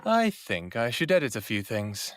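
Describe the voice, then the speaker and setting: An actor playing a devoted, wry human lover in his thirties in an intimate audio drama, speaking in a hushed way. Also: medium pitch, huge pitch swings, normal speed, background noise. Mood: playful, teasing. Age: 30s.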